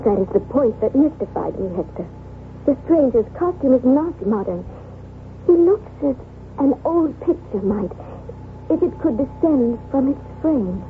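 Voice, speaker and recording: An actress playing a gentle, haunted young French wife, speaking formally and wistfully in a 1970s radio drama. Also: female voice, high-pitched, lively intonation, a little slow. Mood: haunted, insistent. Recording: tinny old radio, faint noise.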